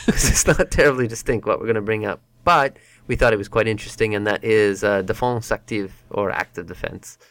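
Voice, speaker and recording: A man's voice: fairly low pitch, fairly quick, fairly bright tone, dry recording. A man co-hosting a casual roundtable chat, who rambles and restarts his sentences as he thinks aloud. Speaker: podcast co-host.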